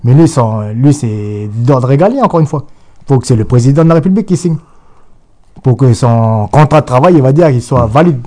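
Insistent man with a mellow voice. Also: medium pitch, lively intonation, fairly quick. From New Caledonia. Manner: insistent, repetitive, restarts often. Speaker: guest. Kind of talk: political interview.

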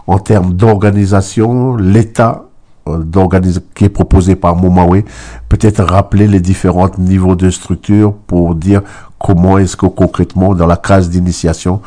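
Interviewer: deep, dark-toned man, rambling and hesitant questions. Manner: long winding questions, hesitant.

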